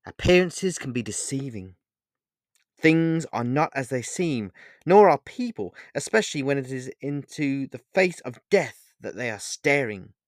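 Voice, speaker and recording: A man acts out an ancient, wild god from a fantasy storybook. He is sardonic and bitter, declaiming his words with theatrical scorn. The delivery is deliberate and measured, in a dry, close sound. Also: medium pitch, lively intonation, no echo, voice pushed up, natural voice. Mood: scornful. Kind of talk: dramatic fantasy storybook narration.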